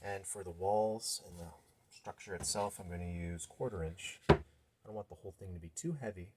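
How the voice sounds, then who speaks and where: A man's voice: low-pitched, fairly quick. A man in his thirties casually narrating a DIY build in a garage workshop, thinking aloud informally as he works. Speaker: DIY maker, host. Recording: noisy workshop recording with clicks.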